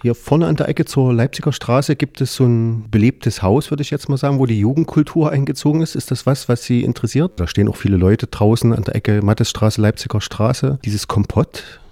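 Mellow-voiced male radio interviewer. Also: mid-range voice, brisk, few pauses, no room sound, clean studio sound. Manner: calm, asks several questions in a row.

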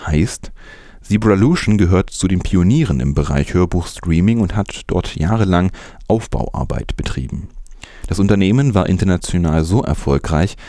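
Tiefe, weiche Männerstimme als Nachrichtensprecher. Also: male voice, deep voice, dark tone, dry recording, studio quality. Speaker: news presenter. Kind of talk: scripted news narration.

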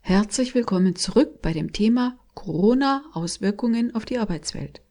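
A woman hosting a radio show, reading calm and clear summaries and introductions. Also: medium pitch, normal speed. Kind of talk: scripted radio moderation.

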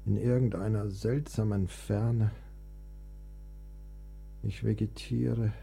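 A man acting a sickly, melancholic and capricious young composer in a German radio play, theatrical, drifting between languor and excitement. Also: lowish voice, vocal fry, slight background noise. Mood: languid, suffering. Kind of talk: German audio drama acting. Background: music.

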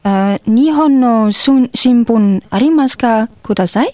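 A woman acts out a polite Japanese neighbour in a live radio play. Her voice is high and closely recorded, with no room sound. She speaks in short, persistent phrases with a Japanese accent. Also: a little slow, dark tone, no echo, close to an everyday voice. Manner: short polite phrases, eager affirming interjections.

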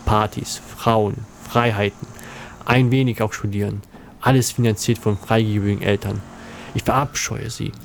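A man's voice: lowish voice, steady tone, moderate pace. A man playing a zealous, disdainful young convert, narrating earnestly in a scripted audio drama. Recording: clear background noise and ambience.

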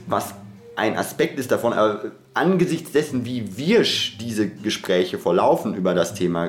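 A man's voice: mid-range voice, echoey room. A man speaking off the cuff in a podcast discussion, in an academic, wordy way, often breaking into his own sentences with asides. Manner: academic, wordy, digressive with frequent self-interruptions.

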